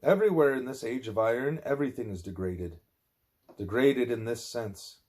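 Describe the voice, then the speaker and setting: A man reading a spiritual discourse aloud as an audiobook narrator, with deliberate, measured phrasing. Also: mid-range voice, darkish tone. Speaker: audiobook reader.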